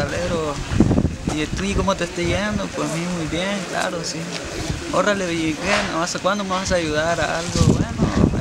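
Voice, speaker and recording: A man with a slightly high voice tells a story in a chatty way and acts out the dialogue. He is a migrant being interviewed. Loud traffic noise fills the outdoor background.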